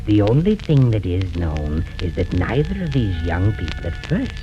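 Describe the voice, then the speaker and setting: An actor playing a gleefully sinister storyteller in 1930s radio horror, with a theatrical, drawn-out delivery that relishes the macabre. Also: male voice, deep voice, very animated, lo-fi sound, over music. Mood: hushed, ominous. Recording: old band-limited 1930s radio recording.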